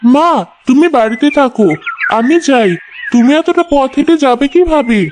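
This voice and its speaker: A woman's voice: high-pitched, normal speed, noisy background, pitched above the performer's own voice, lightly acted. A woman voicing a caring, eager young village daughter in a cartoon folk tale. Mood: concerned. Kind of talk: animated folk tale acting.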